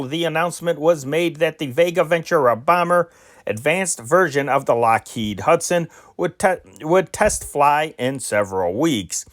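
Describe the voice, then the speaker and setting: A man with a voice pitched a bit higher than most reads out old newspaper dispatches one after another. His delivery is steady and unpolished, with occasional slips where he restarts a word. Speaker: news reader.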